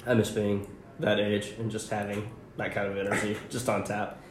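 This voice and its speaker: A man's voice: fairly low pitch, flat delivery, moderate pace. A young man co-hosting a casual chat, joking and easygoing, laughing often. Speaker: podcast co-host. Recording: echoey room with faint hiss.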